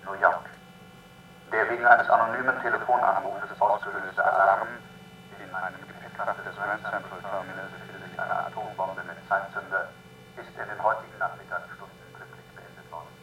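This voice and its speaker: A man's voice: lowish voice, bright, forceful tone. An actor playing a formal, matter-of-fact male news announcer in a radio play, on a taped broadcast relayed over radio. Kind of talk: scripted newsreading in a radio play. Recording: slightly noisy radio relay of tape.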